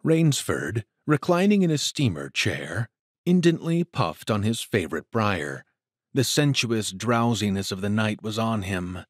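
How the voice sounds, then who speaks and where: A man narrating a dramatic story from a script in a measured, literary and expressive manner. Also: fairly low pitch, no echo, clean studio sound.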